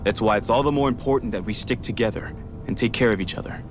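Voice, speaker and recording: A man's voice: fairly low pitch, quick pace, over music, lightly acted. An actor playing an overworked, practical, kindly male organiser of a slave camp in a video game. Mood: busy, earnest.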